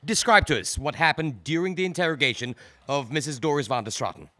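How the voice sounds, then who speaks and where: An actor playing a male prosecutor who questions a witness in a formal, probing way, with careful pauses. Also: a little high, bright, forceful tone. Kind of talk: audio drama acting.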